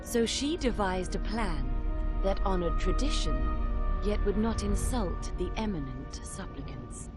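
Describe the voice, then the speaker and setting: A woman acting as a solemn storyteller, narrating fantasy legends for a video game in measured phrases. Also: mid-range voice, animated, loud music behind the voice, close to an everyday voice. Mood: solemn storytelling.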